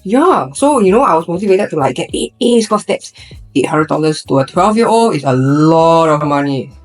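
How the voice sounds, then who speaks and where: A woman's voice: sits low, animated, normal speed, darkish tone, soft background music. A young woman from Singapore with a Singaporean English accent, chatting casually on a podcast and filling her talk with fillers and restarts. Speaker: podcast co-host.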